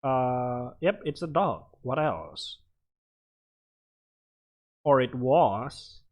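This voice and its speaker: A man doing casual game commentary, reading on-screen text aloud and reacting with short, halting interjections. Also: mid-range voice, expressive. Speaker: let's player. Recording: music playing behind the voice. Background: game music.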